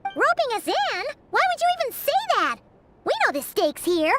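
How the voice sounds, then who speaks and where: A tiny fairy-like game companion chirps in an extremely high, childlike squeak. The delivery swings wildly up and down, bright and forceful, excitable and cheeky. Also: female voice, normal speed, exaggerated voice. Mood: indignant, determined. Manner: exclamatory, refers to herself by name. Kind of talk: animated video game voice acting.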